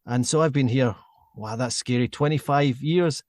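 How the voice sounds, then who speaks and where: A man in his forties with a Glaswegian accent softened by years in England, hosting a casual podcast chat with self-deprecating, rambling anecdotes. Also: medium pitch, moderate pace, no echo, clean studio sound. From Scotland. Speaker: podcast host.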